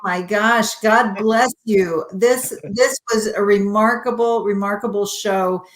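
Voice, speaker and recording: A woman's voice: mid-range voice, steady tone, takes it slowly, roomy sound, poor audio. A woman hosting an interview, asking questions and showing her appreciation to her guest. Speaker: interview host. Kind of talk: conversational interview.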